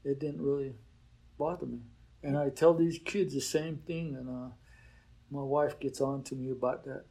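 Unhurried older man with a mellow voice. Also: medium pitch, steady tone, poor audio. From Oklahoma. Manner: unhurried, reflective, frequent fillers like "you know". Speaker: teacher at a boarding school. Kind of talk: relaxed interview conversation.

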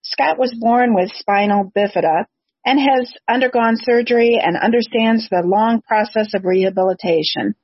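A woman with a husky voice presents as a podcast host, in the manner of prepared introductions and interview questions. The recording is dry and close, with a slightly dull, lo-fi sound. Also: fairly high pitch, normal speed, no room sound. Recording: dull, lo-fi, slightly muffled audio.

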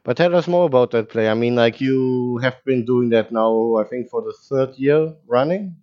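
A man's voice: mid-range voice, moderate pace, a little mellow. Teasing male podcast host, dull audio. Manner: teasing, playful. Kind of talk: casual chat.

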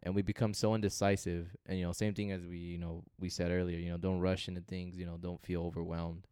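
A man's voice: deep voice, flat delivery, fast, warm tone, smooth, no room sound, clean studio sound. A young man co-hosting a podcast, talking in a laid-back, slangy way full of "you know.". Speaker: podcast co-host. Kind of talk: casual chat.

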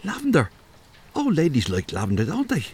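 A man's voice: a little high, huge pitch swings, a little fast, a little mellow, husky, heavily acted. An actor playing a bossy, scoffing, know-all Irish man in a comedy audio drama. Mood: reluctant. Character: bossy, dismissive Irish man sneaking about, secretly jittery.

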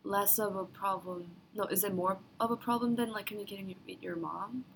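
A young woman in her twenties speaks as a podcast guest, pausing and searching for words between fillers. Her pitch sits in an ordinary middle range. Faint noise hums behind her. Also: steady tone, gravelly. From Korea. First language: Korean. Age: 20s. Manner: halting, searching for English words with many fillers. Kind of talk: casual chat.

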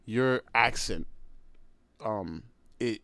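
A man's voice: fairly low pitch, very slow, pushed and bright, dry recording. Laid-back young American man, dry close mic. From United States. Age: young adult. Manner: laid-back, heavy use of "like" and "you know". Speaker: podcast guest, comedian. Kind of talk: casual conversation.

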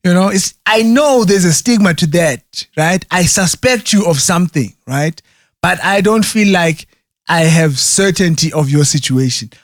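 A man with a somewhat high voice talks in a loose, rambling way. He stumbles through restarts and keeps asking "right?" to check he is followed. The sound is dry and close. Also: unhurried, no echo. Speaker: conversation partner. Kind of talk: casual chat.

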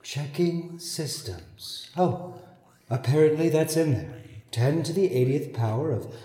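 Man playing patient virtual-reality machine operator, mellow, echoey. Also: on the high side, unhurried, close to an everyday voice. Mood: obliging. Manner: patient, matter-of-fact customer-service delivery. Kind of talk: comedy radio play acting. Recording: roomy echo.

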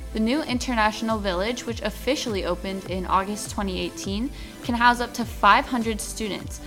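A young woman hosts a school podcast, reading her introductions from a script in an upbeat tone. Her voice sits at an ordinary middle pitch. Soft music plays faintly behind her. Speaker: podcast host.

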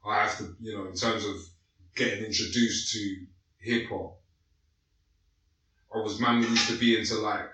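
A man's voice: fairly low pitch, steady tone, normal speed, long pauses, bright, forceful tone, distant mic. A man in his late thirties with a London accent, telling his life story in loose, slangy casual chat full of fillers. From North West London, England. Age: late 30s. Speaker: guest. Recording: echoey room, away from the mic.

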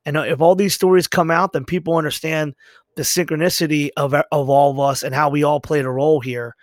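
Podcast host, male, middle-aged, slightly higher-pitched, earnest and informal, conversational. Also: fairly even intonation, brisk, no room sound, clean studio sound. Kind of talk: conversational interview.

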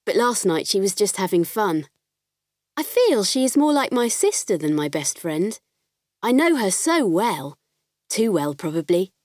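A woman's voice: mid-range voice, measured pace, dry recording. A candid farm girl, close dry sound. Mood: mildly irritated. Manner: expressive, conversational storytelling. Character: farm girl narrating her diary, candid, warm, spirited. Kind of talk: audiobook first-person diary narration.

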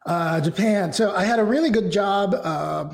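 High-voiced reflective man, interview guest. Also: normal speed, gravelly. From Mexico. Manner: reflective, talks in long winding sentences with fillers. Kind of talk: casual interview.

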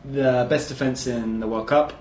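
A man co-hosting a casual football podcast, talking in an animated, emphatic way and swearing casually. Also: medium pitch, moderate pace, echoey room, slight background noise. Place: living room.

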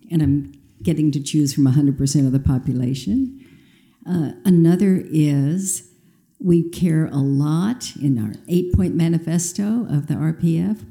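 A woman poses a question from the floor at a public forum. Her voice is notably low and very soft-edged and dark. She is measured and articulate, talking her way conversationally through her points. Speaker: audience member asking a question.

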